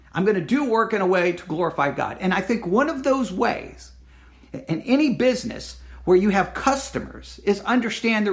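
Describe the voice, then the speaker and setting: A man hosting a casual solo podcast, hoarse from losing his voice, rambling and correcting himself as he earnestly pleads with listeners. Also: high-pitched. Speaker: podcast host.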